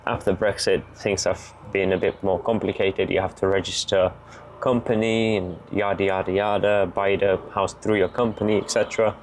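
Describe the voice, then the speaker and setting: Bulgarian-accented man, young adult, matter-of-fact vlog talk, monotone, faint noise behind. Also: low voice, moderate pace. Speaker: vlogger. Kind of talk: casual explaining while cooking.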